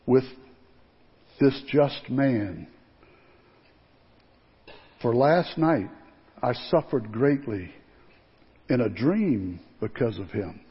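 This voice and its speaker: A man playing a guilt-ridden Roman governor in a dramatic first-person monologue, swinging between hushed and loud delivery. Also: medium pitch, breathy edge, exaggerated voice. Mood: solemn. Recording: dull lo-fi sound with long hall reverb.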